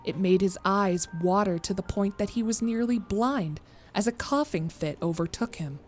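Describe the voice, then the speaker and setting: A woman's voice: mid-range voice, moderate pace, a little mellow, soft background music. A woman narrator reading a scripted story.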